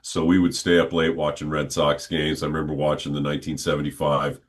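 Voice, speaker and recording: A man in his sixties, a guest on a podcast, telling stories from his childhood in an unhurried, conversational way. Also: extremely low pitch, little pitch movement. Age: 60s. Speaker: interview guest, stadium announcer. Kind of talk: relaxed reminiscing conversation.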